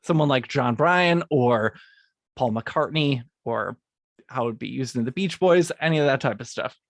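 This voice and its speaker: A man's voice: mid-range voice, normal speed, dry recording, clean studio sound. A man, a music composer, explaining how he built his theme piece, partly reading and correcting himself as he goes. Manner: restarts and corrects his script lines.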